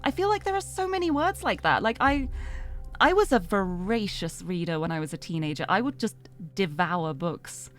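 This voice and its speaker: A woman's voice: medium pitch, lively intonation, normal speed, soft background music. A young British woman from the UK, chatting in a rambling, self-deprecating way while streaming a game. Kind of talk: casual chat while gaming live.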